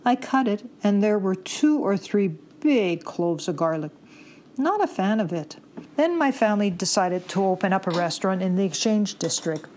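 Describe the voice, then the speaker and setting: A woman's voice: medium pitch, expressive, moderate pace, darkish tone, a little hiss or hum. A middle-aged Canadian woman telling a chatty personal anecdote from her youth. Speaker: listener contributor.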